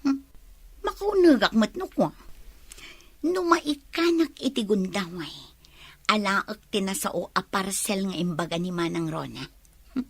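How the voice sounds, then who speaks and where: A woman's voice: mid-range voice, lively intonation, measured pace, long pauses, husky, no room sound. An actress playing a sly, scheming young woman in a radio drama, often musing aloud to herself.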